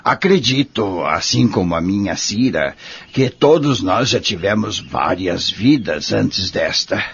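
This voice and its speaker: Man playing a wise, gravelly old Brazilian man. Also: on the low side, lively intonation, heavily acted. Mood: earnest. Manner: gentle, preaching, measured. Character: wise, patient, devout old man in a care home. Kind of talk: radio drama acting. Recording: dull lo-fi audio drama recording.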